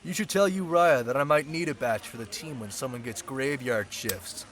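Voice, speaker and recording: A man's voice: mid-range voice, normal speed, a bit bright, lightly acted. A man playing a friendly, sociable guy in an audio roleplay, talking in a casual, chummy way. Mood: casual, curious.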